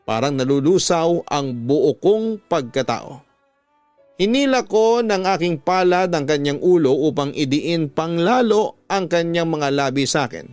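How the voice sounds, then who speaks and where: A Filipino man with a slightly high voice tells a dramatic radio story in slow, emotive phrases. He is recorded close and dry, with music playing underneath. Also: animated, a little mellow, no echo. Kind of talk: dramatic scripted story narration. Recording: music bed behind a dry studio voice.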